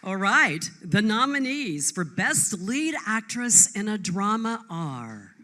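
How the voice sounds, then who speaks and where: A woman's voice: mid-range voice, big pitch swings, slow pace, sharp tone. A woman presenting at a live awards ceremony.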